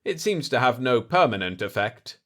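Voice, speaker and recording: A man's voice: medium pitch, very animated, normal speed, a bit bright. A man voicing a cool, wry diplomat hero in an audiobook story, with a dry, sardonic manner. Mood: wry, taunting.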